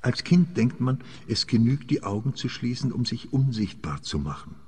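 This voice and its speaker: An actor with a Swiss accent playing a weary, melancholy man on the run in a radio play, husky, halting and reflective. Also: medium pitch, sing-song, mellow. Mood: bitterly amused. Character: weary, melancholy, brooding male fugitive client. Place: scene set in echoing church. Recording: long church-like reverb.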